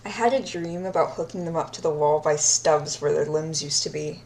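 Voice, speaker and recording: A woman's voice: low voice, normal speed, very noisy. A woman playing a deranged, obsessive yandere captor in an audio roleplay diary, sadistic yet childlike, speaking in a dreamy, intimate manner and lingering on words. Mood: fond, proud. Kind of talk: audio roleplay monologue, recorded diary confession.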